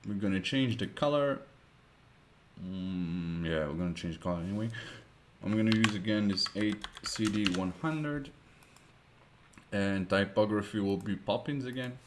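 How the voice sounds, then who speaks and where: Deep-voiced Dutch man talking through a tutorial. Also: background noise. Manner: thinks aloud, slow and hesitant with many fillers. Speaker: web design tutor. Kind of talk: screen-recorded tutorial narration.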